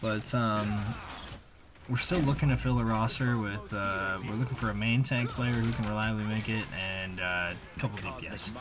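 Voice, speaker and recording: A man's voice: on the low side, moderate pace, noisy background. A man giving casual, rambling voice-over commentary on his own video game play, using informal gamer slang.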